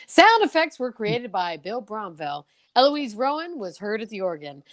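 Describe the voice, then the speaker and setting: A woman playing a showy, emphatic announcer signing off an old-time radio serial. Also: on the high side.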